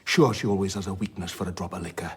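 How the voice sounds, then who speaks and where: An actor playing a sardonic, blunt, worldly doctor in a radio drama, wry and plain-spoken with a husky voice. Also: male voice, sits low, steady tone, fast talker. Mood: grave, heartfelt. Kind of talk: radio drama acting with narration.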